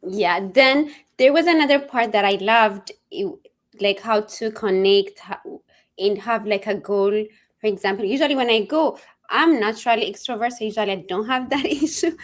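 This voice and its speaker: A woman's voice: a little high, moderate pace. A woman hosting an interview, asking questions in a friendly, eager way with loose phrasing. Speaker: host. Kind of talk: conversational interview.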